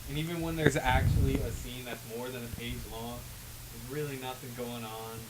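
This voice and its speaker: A man co-hosting a casual review chat, speaking haltingly as he searches for his words. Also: mid-range voice, steady tone, over a noisy crowd, low-quality recording. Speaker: comic reviewer, co-host.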